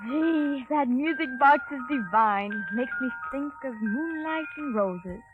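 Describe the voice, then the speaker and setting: A playful American girl gushes in a high voice. The old radio recording is tinny, with faint music behind. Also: expressive, takes it slowly. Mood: gushing, playful. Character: gushing, playful young American sister. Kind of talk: 1930s radio advertising drama.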